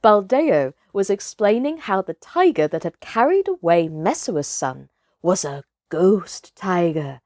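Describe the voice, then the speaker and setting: Woman, expressive children's storyteller, slow pace, animated intonation, dry close recording. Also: medium pitch, no echo. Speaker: storyteller, actress.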